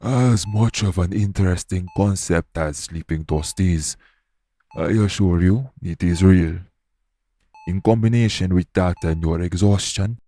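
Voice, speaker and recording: A low, gravelly male official of a secret organization, played by a male voice actor, addresses the listener in an audio roleplay. His tone is dark and mellow, and his delivery is formal, measured and calm. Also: exaggerated voice. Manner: measured, formal, matter-of-fact.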